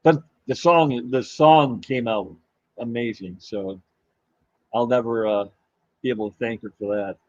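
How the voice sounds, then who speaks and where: A man hosting a live stream, chatting casually and greeting viewers in a rambling, halting way while his voice is going hoarse. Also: mid-range voice, no room sound, highs cut off. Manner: rambling, halting, with many fillers and restarts. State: losing his voice. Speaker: livestream host.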